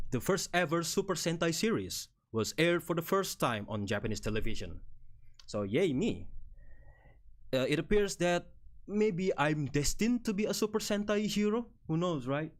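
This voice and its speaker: Man, 30s, Indonesian accent, casual streamer chat, rambling, chuckles often, very animated intonation. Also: a little high. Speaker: VTuber streamer. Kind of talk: casual live-stream chat presenting slides.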